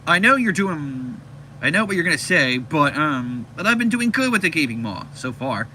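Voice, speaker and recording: A man's voice: a little high, big pitch swings, normal speed, sharp tone, heavily acted. A man acting an earnest, eager boy superhero in a comic-book story, on a slightly noisy recording. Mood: defensive.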